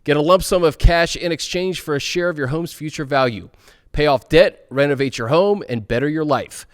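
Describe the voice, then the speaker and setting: Higher-pitched male ad announcer, dry sound. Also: normal speed, clean tone, dry recording. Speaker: advertisement announcer. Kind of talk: scripted sponsor read.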